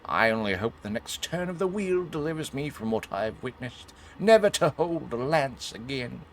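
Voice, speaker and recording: A man's voice: mid-range voice, expressive, moderate pace, slight background noise, voice pushed up, close to an everyday voice. A man voicing a despairing, doomed soldier's last confession from a video game, solemnly. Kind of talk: dramatic read-aloud of game text.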